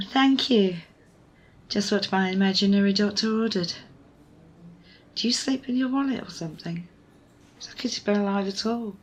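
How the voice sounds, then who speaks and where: A woman's voice: mid-range voice, normal speed, pauses often, lightly acted. A woman playing a wry, evasive young rescuer in an intimate ASMR audio roleplay, with a sardonic, hesitant manner. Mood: awkward, reassuring. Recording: noisy ambience behind close voice.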